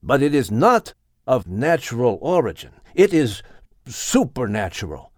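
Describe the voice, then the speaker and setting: Man acting out devout old patriarch, storybook figure, slow weighty delivery, sweeping intonation, reverent, solemn. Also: medium pitch, very animated, dry recording, clean studio sound. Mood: solemn awe.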